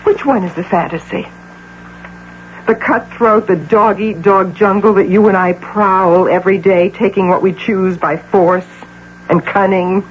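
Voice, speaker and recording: A woman's voice: mid-range voice, fairly even intonation, measured pace, close to an everyday voice. An actress playing a proud, anguished middle-aged businesswoman who clings to her fantasy, in impassioned, theatrical radio drama style full of rhetorical questions. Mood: wistful. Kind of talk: old-time radio drama acting. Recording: dull, noisy old radio broadcast.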